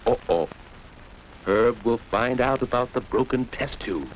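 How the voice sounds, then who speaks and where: A man's voice: medium pitch, unhurried. A man narrating a 1940s educational film for children in a didactic, storytelling way, posing questions to young listeners. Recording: dull, noisy 1940s film soundtrack.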